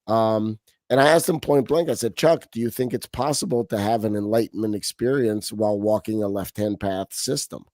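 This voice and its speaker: A man's voice: on the low side, normal speed, few pauses, a little mellow, dry recording, clean studio sound. A middle-aged man from Romania, a podcast guest talking casually and animatedly, with frequent restarts and fillers. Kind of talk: casual interview talk.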